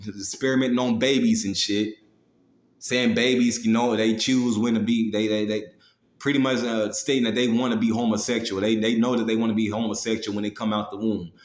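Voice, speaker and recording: A man's voice: fairly low pitch, fairly even intonation, moderate pace. Confrontational male preacher in an echoey room. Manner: confrontational, profane; slangy, with frequent "man" and "you know" tags. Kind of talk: fiery street-style sermon with scripture read aloud.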